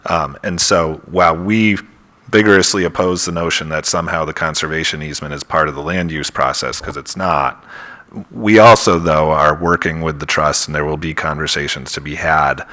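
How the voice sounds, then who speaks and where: A man representing an applicant at a public hearing, summarizing points in a measured, lawyerly way. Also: very deep voice, bright, forceful tone. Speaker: applicant's attorney. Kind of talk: formal advocacy at a public hearing. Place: town hall meeting room.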